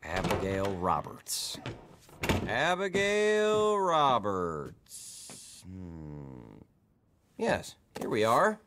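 An actor playing a fussy, helpful middle-aged depot clerk in a western video game, halting and muttering to himself. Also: male voice, on the low side, huge pitch swings, fairly bright tone, slight background noise, heavily acted. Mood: absorbed, muttering. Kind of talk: video game character acting.

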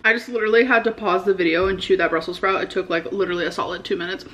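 A woman's voice: medium pitch, fairly even intonation, very fast, noisy background. Young American woman chatting casually. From United States. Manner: informal, slangy, frequent "like". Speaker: vlogger. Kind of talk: casual chat to camera. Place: indoor living room at home.

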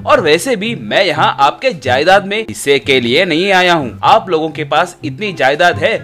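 A man playing a confident, dutiful and polite son in an animated story, speaking earnestly. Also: on the high side. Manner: earnest, assured.

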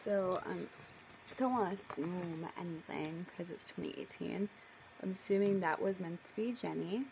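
A woman's voice: lowish voice, normal speed, vocal fry, darkish tone, a little hiss or hum, low-quality recording. A young woman casually reading her own story aloud on camera, breaking off for playful, mock-indignant asides. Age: young adult. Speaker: video creator. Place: living room at home, indoors.

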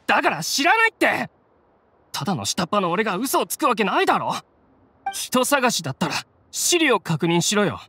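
Brash bandit grunt, swooping pitch. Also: male voice, sing-song, moderate pace, bright, forceful tone, a little hiss or hum, heavily acted. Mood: defensive. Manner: rough, coarse, blustering speech. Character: defiant low-ranking bandit gang grunt, adult man. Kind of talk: Japanese game voice acting.

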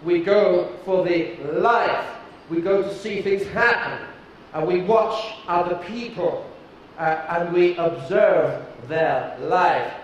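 A middle-aged British man giving a keynote talk on a large stage, deliberate and emphatic, with some fillers. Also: high voice, dull audio, slight background noise. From United Kingdom. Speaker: keynote speaker. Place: large dark stage before an audience. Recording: echoey hall from a distance.

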